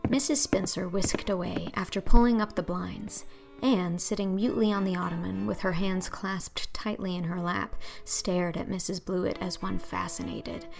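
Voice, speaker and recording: A woman's voice: on the low side, steady tone, normal speed, vocal fry, music in the background. A woman narrator reading a scripted storybook audiobook.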